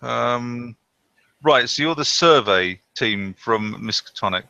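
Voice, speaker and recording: A man voicing a brisk, officious colonial port governor in a tabletop game, with a gravelly voice. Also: medium pitch, expressive, pushed and bright, dry recording, heavily acted.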